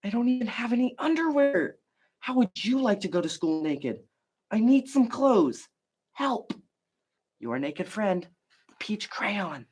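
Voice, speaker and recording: A man's voice: high voice, sing-song, unhurried, long pauses, a little mellow, husky, voice pushed up, full character voice. A man voicing an embarrassed, pleading little crayon from a children's picture book, hesitant and stammering.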